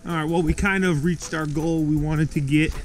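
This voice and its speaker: A man's voice: fairly high pitch, fairly even intonation, normal speed, soft background music. A man filming himself on a snowy outdoor building site with a head-mounted camera, explaining his work in a plain, practical way. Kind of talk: casual how-to walkthrough. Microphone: head-mounted action camera.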